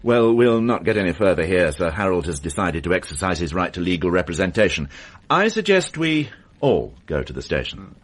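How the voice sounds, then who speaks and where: A low, slightly husky voice with a lively, swinging pitch belongs to a dogged police inspector. He asks pointed questions in short, clipped lines, pressing for answers. It is a classic radio mystery drama. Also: a little fast. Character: brisk, probing male police inspector.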